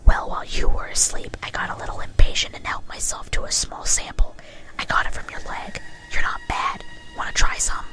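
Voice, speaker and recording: A woman's voice: brisk, natural voice, low-quality recording. A woman acts out a sadistic female pony from cartoon fan fiction. She whispers in ASMR style, chiding her victim with a casual, cheerful menace. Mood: casual teasing.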